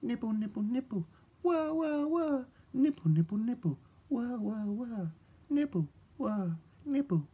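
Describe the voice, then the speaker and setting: Narrator as a tiny high chomping biscuit creature. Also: expressive, a little slow, warm tone, slightly rough. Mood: busy, determined. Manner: repetitive chomping babble. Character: tiny kind brave biscuit creature, nibbling busily. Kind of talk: comic children's storybook character voice.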